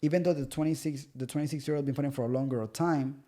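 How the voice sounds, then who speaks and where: A man, a fighter guest on a podcast, telling stories in a loose, animated way and acting out the voices of the people he quotes. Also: medium pitch, fast. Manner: loose, repetitive phrasing with many restarts. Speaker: pro MMA fighter guest. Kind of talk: casual podcast chat.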